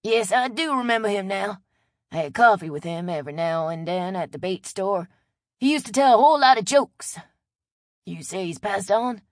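Woman voicing an old Cajun man, folksy drawl, loud and hearty, dry close sound. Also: medium pitch, animated, moderate pace, no echo, natural voice. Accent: Cajun Louisiana. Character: friendly old Cajun man, weathered bait-shop regular. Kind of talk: audiobook character voice.

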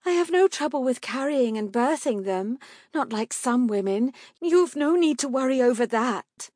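Audiobook period mother, acted by a female narrator: high, fretful, plaintive, anxious and pleading. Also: lively intonation, normal speed, darkish tone, no echo, clean studio sound. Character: anxious, pleading, tearful mother of five daughters.

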